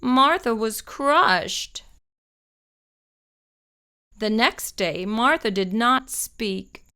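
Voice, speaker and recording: A woman reading a children's picture book aloud, slow and expressive. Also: fairly high pitch, clean tone. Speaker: children's storyteller.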